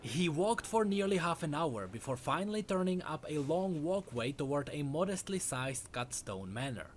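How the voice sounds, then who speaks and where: A man's voice: a little high, very animated, normal speed, a little hiss or hum. A man narrating a dramatic scripted story as a storyteller.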